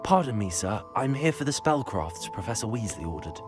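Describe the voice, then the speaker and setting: Polite, expressive schoolboy. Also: male voice, lowish voice, fairly quick, music in the background. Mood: polite, earnest. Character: polite, keen teenage male magic student. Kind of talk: video game character acting.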